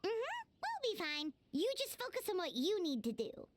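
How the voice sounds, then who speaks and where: Squeaky, excitable little fairy girl. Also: moderate pace, noisy background, exaggerated voice. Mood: curious. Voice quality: squeaky, childlike. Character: small, chatty, childlike female fairy companion. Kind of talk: anime game voice acting.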